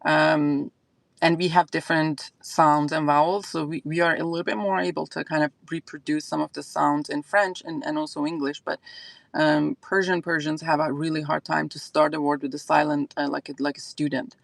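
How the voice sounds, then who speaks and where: A woman's voice: deep voice, little pitch movement, normal speed, vocal fry, no echo. A woman from Iran with an Iranian accent, whose first language is Azeri, hosting a casual livestream chat and thinking aloud with lots of fillers. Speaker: fragrance channel host.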